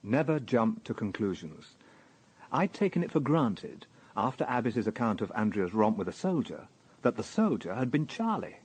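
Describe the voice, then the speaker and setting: Husky, wry British police detective. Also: male voice, lowish voice, very animated, normal speed, darkish tone. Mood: reflective. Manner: dry, probing, conversational. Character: wry, shrewd, easygoing male police detective. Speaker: actor and narrator. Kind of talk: naturalistic radio drama with first-person narration.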